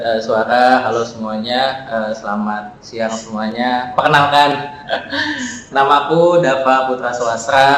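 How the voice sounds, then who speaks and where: A man in his twenties with a Jakarta accent, chatting as a podcast guest in a relaxed, halting way with frequent "eh" fillers and chuckles. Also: mid-range voice, very echoey, slight background noise, poor audio. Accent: Jakarta Indonesian. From Jakarta, Indonesia. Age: 20s. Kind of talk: casual podcast chat.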